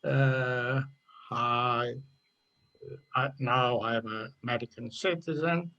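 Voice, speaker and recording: An older man from Portugal with a Portuguese accent, speaking English haltingly on a video call about the essay he wrote. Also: mid-range voice, steady tone, pushed and bright, highs cut off. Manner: halting, searching for words, apologetic. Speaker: contest winner, retiree.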